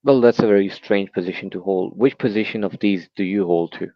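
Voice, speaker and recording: Gravelly-voiced man from Pakistan on a call. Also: low-pitched, brisk, dry recording, lo-fi sound. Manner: pointed, challenging questions. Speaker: caller. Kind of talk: call-in debate questioning.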